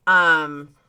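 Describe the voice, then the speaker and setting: A woman's voice: deep voice, bright, forceful tone, clear, resonant tone. Blunt, chatty woman in her forties. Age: 40s. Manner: reads out and answers viewer comments, chatty and blunt, swears. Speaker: livestream host. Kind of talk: casual livestream chat.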